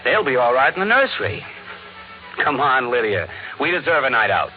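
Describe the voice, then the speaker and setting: A man's voice: mid-range voice, big pitch swings, moderate pace, loud music behind the voice, close to an everyday voice. An actor playing a breezy, indulgent father with a folksy, coaxing manner in an old radio drama. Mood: breezy, contented. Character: breezy, indulgent middle-class father of young children. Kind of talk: 1950s radio acting. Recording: noisy, tinny vintage radio recording.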